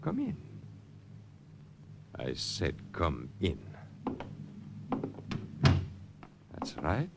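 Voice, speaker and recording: An actor playing a suave, scheming police chief, coaxing and smooth in 1940s radio style. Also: male voice, rumbling low, wildly swooping pitch, slow, noisy background, heavily acted. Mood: silky.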